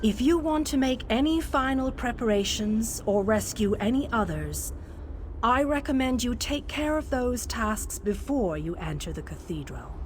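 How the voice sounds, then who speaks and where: A woman's voice: on the high side, animated, a little slow, slight background noise. An actress playing a serene, wise woman guiding a refuge city in a fantasy game, speaking in a solemn, formal way. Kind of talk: scripted fantasy game dialogue.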